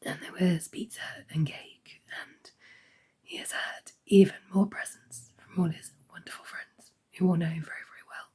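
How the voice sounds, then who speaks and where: A woman giving a casual crafting update, hoarse and half-whispering as her voice fails. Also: lowish voice, flat delivery, moderate pace, mellow. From United Kingdom. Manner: half-whispered, croaky delivery. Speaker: crochet vlogger.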